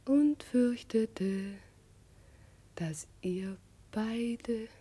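A woman's voice: on the high side, mellow, breathy edge, full character voice. A woman playing a proud, sardonic singer in a German radio play, with a theatrical, scornful manner.

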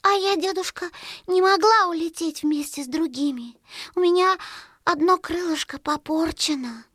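A woman's voice: very high-pitched, normal speed, a bit bright, no echo, studio quality. A woman voicing a small, naive young female duck in a children's audio play, childlike and artless. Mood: plaintive.

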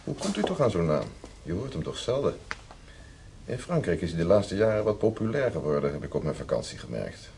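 Old radio play doctor, low voice, teasing but polite, bit of noise. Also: male voice, big pitch swings, vocal fry, full character voice. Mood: kindly, reassuring. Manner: measured, gently ironic, courteous bedside talk. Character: genial male hospital professor, courteous, teasing, paternal doctor. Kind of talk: Dutch radio drama acting.

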